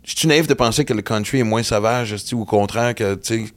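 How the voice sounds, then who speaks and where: A man with a Québécois French accent hosting a podcast, chatty and quick to laugh, dropping casual swears. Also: on the low side. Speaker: podcast host. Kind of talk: casual interview chat.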